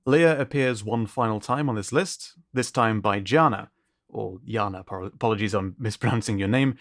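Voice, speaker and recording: A man's voice: lowish voice, no room sound, studio quality. A man presenting a showcase as its host, in scripted commentary that is appreciative and descriptive. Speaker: host of an art contest showcase.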